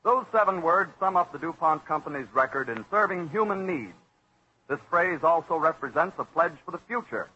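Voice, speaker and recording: A man with an American accent reading a scripted sponsor announcement in the formal, measured, earnest manner of a 1940s radio announcer, on an old broadcast recording. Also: fairly high pitch, sharp tone, breathy edge, dull audio.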